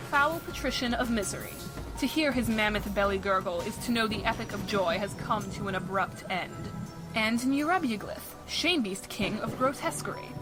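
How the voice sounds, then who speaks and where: A woman's voice: mid-range voice, close to an everyday voice. A woman playing a wry, articulate narrator, delivering scripted second-person adventure story narration with a touch of theatre. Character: wry, matter-of-fact adventure game narrator. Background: music.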